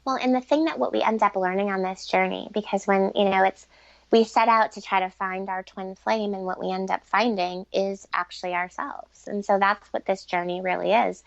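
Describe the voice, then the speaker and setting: Woman with creaky voice, muffled recording. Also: mid-range voice, fairly even intonation, normal speed, no room sound. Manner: earnest, fluent, long explanations. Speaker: guest relationship expert and author. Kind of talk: conversational explanatory interview answers.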